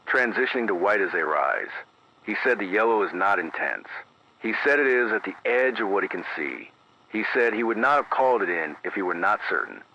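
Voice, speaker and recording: Deep-voiced, deliberate radio emergency announcer played by a man. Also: sharp tone, exaggerated voice. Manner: measured, deliberate, formal, repeats key phrases. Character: careful, grave male local radio emergency announcer. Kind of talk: scripted analog horror news bulletin. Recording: dull AM radio sound, faint noise.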